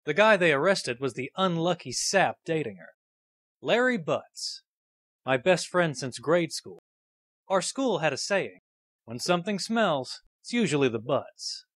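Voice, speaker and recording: A man's voice: fairly high pitch, very animated, unhurried, fairly bright tone, no echo, studio quality, exaggerated voice. A man acting a nervous, earnest young rookie defense lawyer in a game fandub, a little hesitant. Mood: wry, earnest.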